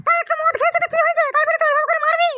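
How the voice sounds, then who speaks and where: A woman voicing a bossy middle-aged village mother-in-law in a comic cartoon, scolding and questioning through a squeaky pitch-shift effect. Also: little pitch movement, very fast, sharp tone. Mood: alarmed. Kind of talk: comic Bengali cartoon dialogue.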